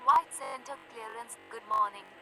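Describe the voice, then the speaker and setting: A woman's voice: medium pitch, wildly swooping pitch, normal speed, creaky, bright, forceful tone, soft background music, full character voice, poor audio. A woman voicing an airline pilot on the radio, formal and procedural, in set air traffic phrases. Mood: formal, businesslike. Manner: clipped, procedural, formulaic.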